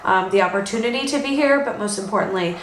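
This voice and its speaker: A woman's voice: mid-range voice, quick pace. A young Californian woman talks in a casual, chatty vlog style with a mid-range voice. Her delivery is informal and unscripted, full of loose asides. She is recorded in an echoey room with light background noise. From Los Angeles, United States. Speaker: vlogger. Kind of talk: casual improvised vlog chat.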